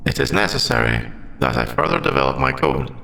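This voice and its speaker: A man voicing a formal, logical android AI in an ASMR audio roleplay, with precise, stilted phrasing and gravelly endings to his phrases. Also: medium pitch, sing-song, a little fast, bright, forceful tone, slight background noise, full character voice. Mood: detached, analytical. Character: formal, logical male android AI, secretly sentient and earnest.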